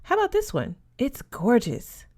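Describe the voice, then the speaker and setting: A woman's voice: fairly high pitch, wildly swooping pitch, normal speed, a little mellow, pitched above the performer's own voice, full character voice. A woman voicing a frank, worldly teenage boarding-school girl in an audiobook, breezy and emphatic. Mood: bright, friendly.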